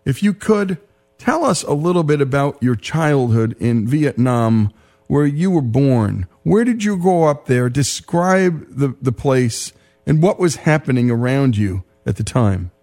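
A man hosting a radio interview, introducing his guest and asking questions in a measured, deliberate way. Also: medium pitch, big pitch swings, dark tone. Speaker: host.

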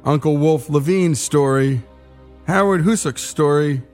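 A man's voice: on the high side, a little slow, a little mellow. A man hosting a story radio show, reading scripted introductions. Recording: music under the voice. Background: guitar music.

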